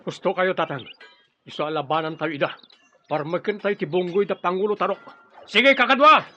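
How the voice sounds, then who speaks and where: A loyal young-spirited warrior in an Ilocano radio drama. His voice is high and very sharp, on a slightly dull recording. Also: brisk, soft background music. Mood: fierce, rallying.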